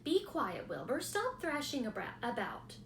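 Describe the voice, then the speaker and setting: A woman voicing a calm, wise, dying female spider who speaks softly and firmly, in an echoey room. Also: on the high side, a little slow, vocal fry, slight background noise, full character voice. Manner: quiet, soft, firm.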